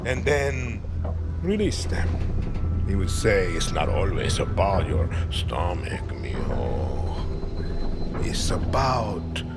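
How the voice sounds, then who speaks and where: A man playing a menacing, smug island president in a game, speaking with a Latin American accent in a deliberate, theatrical manner. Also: low-pitched, very animated, long reverb tail, over loud music, heavily acted. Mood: quietly menacing. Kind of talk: political speech and dramatic game acting.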